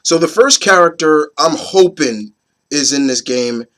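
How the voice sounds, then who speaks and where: Hoarse man chatting with a cold. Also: fairly high pitch, animated, a little slow, a bit bright. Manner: rambling, with many fillers and restarts. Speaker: host of an opinion video series. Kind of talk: casual chat to camera.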